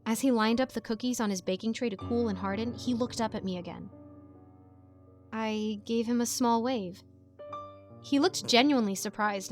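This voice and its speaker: A woman reading a scripted story as its narrator, with an expressive storytelling delivery. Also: fairly high pitch.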